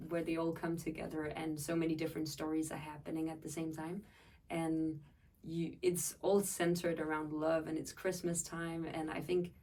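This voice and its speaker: A young woman from Denmark with a notably low voice gives reflective answers in an interview. The microphone is some way off, so she sounds a little distant. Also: darkish tone. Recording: voice picked up from a distance.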